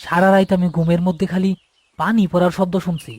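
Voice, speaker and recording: A man's voice: high voice, little pitch movement, fairly quick, close to an everyday voice. A man playing a respectful, uneasy younger villager in an audio horror drama, speaking colloquially with a Bangladeshi accent. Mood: shaken. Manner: colloquial, deferential.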